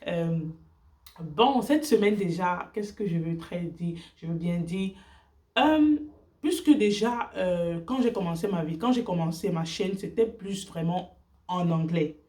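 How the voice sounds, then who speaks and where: A woman whose first language is English speaks in earnest, halting French, with many fillers and restarts, sharing her faith on a casual vlog. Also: on the low side, animated, mellow, far from the mic.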